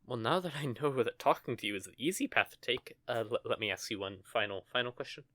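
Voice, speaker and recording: A man's voice: medium pitch, expressive, quick pace, bright, forceful tone, no echo. A young man voicing a nervous, stuttering young male witch in an audio roleplay, recorded close and dry. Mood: giddy. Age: young adult. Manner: stammering, flustered.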